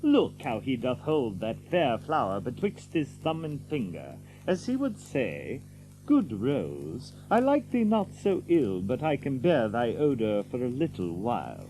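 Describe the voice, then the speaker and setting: An actor playing a bold, hot-tempered outlaw leader in an archaic audio drama, with a blustering, declamatory manner. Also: male voice, mid-range voice, big pitch swings, unhurried, warm tone, slight background noise, rough recording. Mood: scornful.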